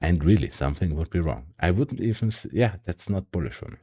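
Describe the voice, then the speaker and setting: Deep-voiced German man chatting about charts. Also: lively intonation, a little fast, warm tone. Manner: tag questions and fillers, yeah, um. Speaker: stock market YouTuber. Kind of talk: casual screen-share market analysis.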